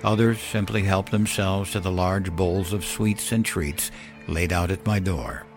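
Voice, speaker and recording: A man narrating a scripted first-person horror story as a weary, wistful old man, in a measured, solemn and dramatic way. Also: sits low. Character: weary, wistful elderly man telling his ghostly tale. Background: music under the voice.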